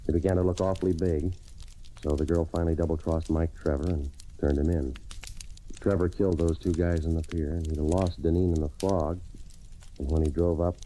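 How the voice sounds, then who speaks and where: An actor playing a cynical, terse private investigator, narrating old radio noir in a clipped, deadpan, slangy way. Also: male voice, very deep voice. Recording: noisy old radio recording.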